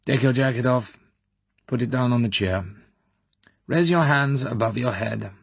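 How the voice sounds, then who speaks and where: A man's voice: medium pitch, measured pace, no echo, pitched above the performer's own voice. A man voicing Rosa Klebb, menacing older colonel. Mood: commanding. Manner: smooth, commanding, falsely sweet. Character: cold, domineering older female secret police colonel.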